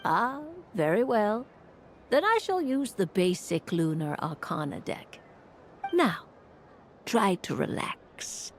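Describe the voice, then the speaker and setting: An actress playing a refined woman mage and fortune-teller in a video game, speaking formally and courteously with measured eloquence. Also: mid-range voice, big pitch swings, slight background noise. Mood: courteous, theatrical. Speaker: fortune-telling mage character. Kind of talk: video game character acting. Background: music.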